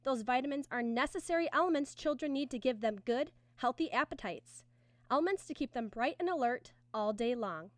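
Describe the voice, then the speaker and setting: A woman's voice: high-pitched, animated, a little fast, no echo. A woman announcer reading a scripted 1950s-style radio advertisement with an earnest, emphatic sales delivery.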